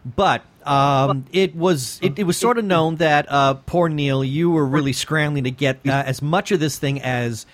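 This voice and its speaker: A man's voice: fairly high pitch, a little slow, hardly any pauses, dry recording. A man co-hosting a podcast interview and chatting casually with the guests.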